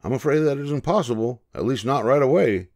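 A man's voice: medium pitch, expressive, brisk, a little mellow, voice pushed up, lightly acted. A man voicing a friendly, helpful male ship's cat in a storybook, speaking politely and reassuringly. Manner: reassuring, politely well-spoken.

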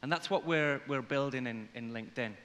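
A man in his forties from Liverpool with an English accent, giving a conference presentation in measured phrases. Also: mid-range voice, a bit bright. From Liverpool, England. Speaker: corporate conference speaker. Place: large indoor conference hall, on stage. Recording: reverberant hall sound from stage.

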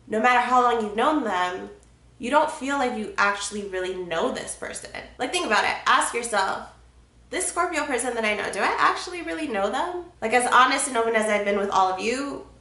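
A woman's voice: on the high side, bright, forceful tone, echoey room. A woman in her twenties with a cold and a congested voice, chatting frankly to camera from her bedroom and swearing casually. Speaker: vlogger. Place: bedroom, sitting on a bed.

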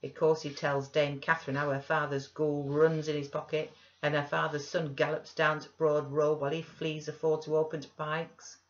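A woman's voice: deep voice, level tone, normal speed, few pauses, muffled recording, close to an everyday voice. A woman voicing an old Yorkshire servant man, gossiping and scornful, in an audiobook reading.

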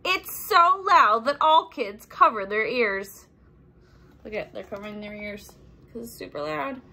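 A woman's voice: on the high side, a little slow, creaky. A young adult woman reading a children's picture book aloud expressively, acting out sound effects and adding simple explanations. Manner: animated, acts out sound effects and exclamations.

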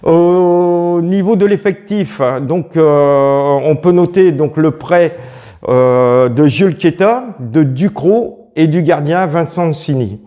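A man's voice: on the high side, slow, muffled recording. Hesitant man presenting local news. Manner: hesitant, many fillers. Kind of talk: amateur local news and market interview.

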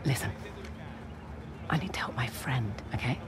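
A woman's voice: rumbling low, normal speed, heavy background noise. A woman playing a wry, guarded adventurer in her thirties in a video game, with a dry, understated delivery. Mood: firm, patient. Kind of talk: naturalistic cinematic video game acting. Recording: clear outdoor street ambience behind.

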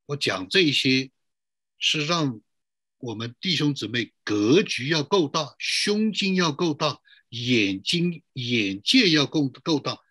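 A man's voice: mid-range voice, sing-song, slow pace, sharp tone, breathy edge, no room sound. A middle-aged man pastor giving a sermon to an online church, posing rhetorical questions and drawing out emphatic phrases.

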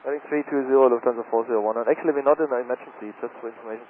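A man's voice: medium pitch, fairly even intonation, very fast. A man working as an airline pilot, speaking in clipped readbacks over aviation radio. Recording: tinny aviation radio, clear static.

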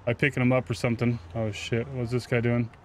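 A man's voice: medium pitch, little pitch movement, fast talker, slight background noise. A man giving casual, slangy gameplay commentary, swearing and talking back to the game's characters. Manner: profane, slangy, talks to game characters. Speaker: gaming YouTuber. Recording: game music behind the voice. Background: video game music.

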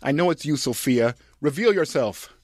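A man's voice: on the high side, expressive, normal speed, no room sound, clean studio sound, voice pushed up. A man voicing a baffled, irritated moviegoer, incredulous and snarky, in a comic script reading. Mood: scornful.

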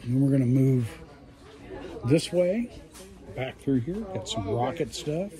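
Man narrating over loud shop chatter. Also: mid-range voice, animated, slow. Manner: rambling, appreciative. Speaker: host filming a shop tour. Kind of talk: casual vlog commentary. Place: inside a busy hobby shop. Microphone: handheld phone. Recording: busy shop chatter behind.

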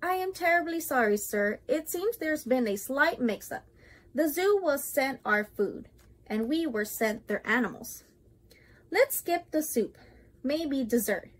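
A woman's voice: high-pitched, expressive, unhurried. A woman voicing a fussy, proud male waiter in a storybook, speaking formally and eager to placate. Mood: apologetic.

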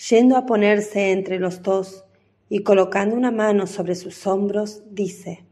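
A woman giving scripted narration for a radio drama in a solemn, measured manner. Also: mid-range voice, fairly even intonation, dark tone.

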